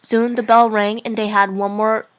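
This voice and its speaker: A woman's voice: a little high, level tone, normal speed, smooth. A woman narrating fan fiction in an informal, amateur reading-aloud style. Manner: giggly, informal.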